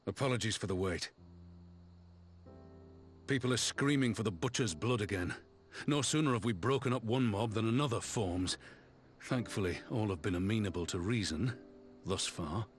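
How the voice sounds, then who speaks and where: Man acting low, grave military commander. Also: normal speed, pauses often, faint music behind, lightly acted. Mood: grave, businesslike. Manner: grave, formal, direct. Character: stern, sober male military commander. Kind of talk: video game cutscene acting.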